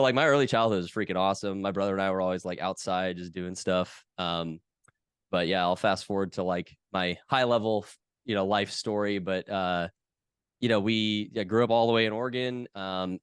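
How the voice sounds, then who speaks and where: Thirtysomething guy, low smooth voice, dry close sound, laid-back rambling chat. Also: a little fast, no room sound. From West Coast of the US. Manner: relaxed, chatty, lots of fillers. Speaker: podcast guest. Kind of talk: casual conversation.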